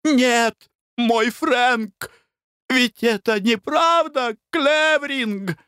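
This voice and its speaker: A man voicing a hysterical, sobbing older noblewoman who wails and shrieks in a very high voice. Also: drawn-out words, bright, forceful tone, clean tone, dry recording, clean studio sound, heavily acted. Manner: wailing, shrieking.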